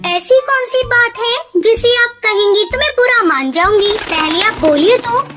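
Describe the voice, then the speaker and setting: Very high, childlike young cartoon bird. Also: female voice, lively intonation, a little slow. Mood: polite. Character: caring, spirited young female bird, dutiful daughter. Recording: loud music behind. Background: loud children's music.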